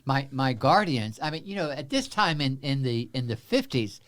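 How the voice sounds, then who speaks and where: A man in his seventies from Central Texas, a guest telling his life story in an interview, halting with many fillers, with a wry humor. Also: medium pitch, very animated, fairly bright tone.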